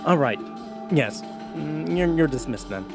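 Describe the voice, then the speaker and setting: Man playing a pompous, blustering, animated king. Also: on the high side, a little slow, music in the background. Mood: smug. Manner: haughty, blustering. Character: pompous, grumbling, proud young male king. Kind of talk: audio drama acting.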